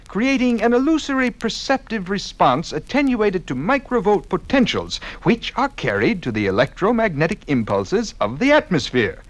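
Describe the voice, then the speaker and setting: An actor playing an eccentric, fussy inventor professor in a 1950s radio comedy sketch, pompous and full of technical jargon. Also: male voice, sits high.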